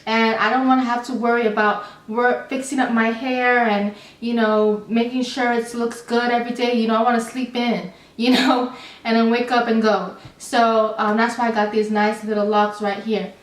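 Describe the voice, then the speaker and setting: Young woman, chatty vlog storyteller, rambling with fillers, fairly high voice, echoey room. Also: level tone, moderate pace. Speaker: vlogger. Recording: roomy, slightly distant mic.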